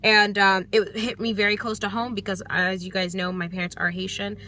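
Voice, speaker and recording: A woman's voice: mid-range voice, level tone, fairly quick, bright, forceful tone, a little hiss or hum. A young American woman giving a casual book review from her car, thoughtful and hesitant, with many ums and restarts. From United States. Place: parked car.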